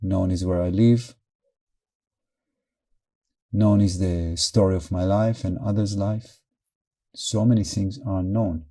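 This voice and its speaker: Very mellow, soft-edged male meditation guide. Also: low voice. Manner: calm, measured, short phrases with pauses. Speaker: meditation teacher.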